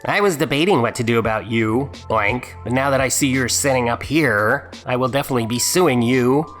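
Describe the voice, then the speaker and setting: A man's voice: medium pitch, brisk, fairly bright tone, faint music behind, natural voice. A man voicing a hostile, blustering man who threatens to sue, in a dramatic reading. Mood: angry, threatening. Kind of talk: dramatic reading of an online story.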